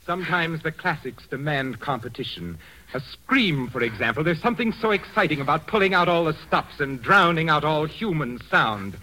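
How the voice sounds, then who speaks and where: Give me a soft-spoken murderous organist from a 1940s radio play, bright and a little high. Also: male voice, expressive, moderate pace, low-quality recording. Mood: sinister calm. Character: murderous male organist, calm and sinister, adult.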